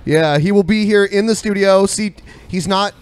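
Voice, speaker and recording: A man's voice: sits high, normal speed, clear, resonant tone. A man co-hosting a podcast in casual, conversational style. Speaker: podcast co-host. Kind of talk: casual chat, show promotion.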